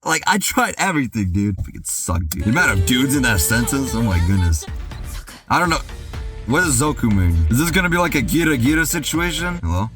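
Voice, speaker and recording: A young man talking through a casual music reaction, rambling and excitable, with lots of "dude" and "like", a slightly worn voice, and loud outbursts when he gets hyped. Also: medium pitch, fairly quick. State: voice worn from singing practice.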